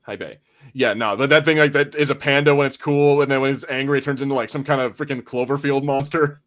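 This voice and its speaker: A man's voice: mid-range voice, steady tone, quick pace, a bit bright. A man hosting a podcast in casual fan chat, informal and sweary, thinking aloud as he goes. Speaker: podcast host.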